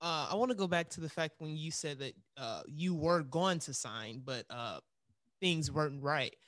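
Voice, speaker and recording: A man's voice: on the high side, expressive, moderate pace, fairly bright tone, no echo, studio quality. A man co-hosting, asking questions in a casual interview.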